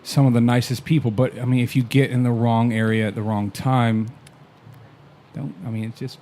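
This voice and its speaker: A man's voice: mid-range voice, level tone, moderate pace, warm tone, a little hiss or hum. A man chatting casually on a podcast, telling laid-back stories, swearing now and then and chuckling often. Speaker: podcast guest. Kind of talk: casual chat. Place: podcast recording in Canada.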